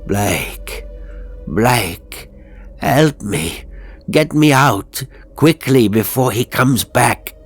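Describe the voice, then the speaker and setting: A man's voice: mid-range voice, animated, extremely slow, faint music behind, pitched above the performer's own voice, exaggerated voice. A man voicing a terrified soul trapped inside a ventriloquist's doll, pleading in a ghastly moan for an audio horror story. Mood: desperate pleading. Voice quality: ghastly, moaning.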